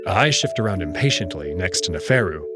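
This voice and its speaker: A man's voice: low voice, expressive, brisk, loud music behind the voice. A man narrating a first-person story in a dramatic reading style.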